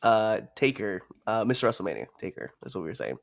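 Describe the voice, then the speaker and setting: A man's voice: lowish voice, big pitch swings, fast talker, creaky, dry recording, studio quality. A man co-hosting a wrestling podcast, trading jokes in loose banter, laughing often and swearing freely. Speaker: podcast co-host.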